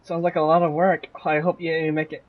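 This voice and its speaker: A man's voice: fairly high pitch, little pitch movement, fairly quick, narrow, tinny audio. A man giving casual livestream commentary on a game, hesitant and rambling, with sentences that trail off. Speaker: game streamer. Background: soft game music.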